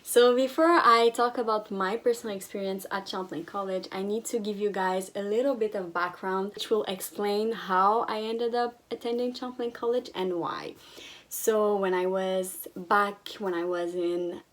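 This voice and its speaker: Young woman explaining her story to the camera, mid-pitched voice. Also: fairly even intonation, normal speed. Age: young adult. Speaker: vlogger. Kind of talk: casual chat to camera.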